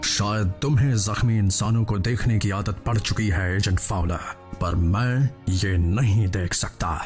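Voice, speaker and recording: A man playing a noble robot leader in a cartoon, his voice lowish and steady as he speaks with measured calm. Soft music sits behind him. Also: natural voice. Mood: grave. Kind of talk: Hindi cartoon dub.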